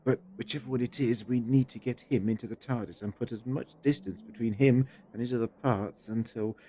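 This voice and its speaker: A man's voice: medium pitch, fairly even intonation, brisk, mellow, natural voice. A man acting in an audio drama as a resolute, improvising time-travelling hero, by turns hesitant and decisive. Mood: urgent, unsure. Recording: clear background noise.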